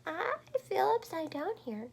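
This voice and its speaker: A perky young bird from a storybook, performed by a woman. The voice is very high and chirpy, jumping around in pitch. It exclaims in a bewildered, childlike way. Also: very animated, unhurried, gravelly, exaggerated voice.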